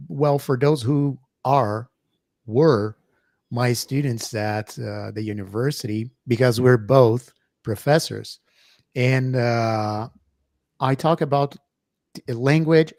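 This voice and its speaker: A middle-aged Brazilian professor with a Brazilian Portuguese accent, co-hosting an educational podcast in a hesitant, filler-heavy manner. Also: male voice, mid-range voice, slow, darkish tone, no room sound, studio quality. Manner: hesitant, many fillers and restarts. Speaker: co-host, professor. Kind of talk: casual educational podcast chat.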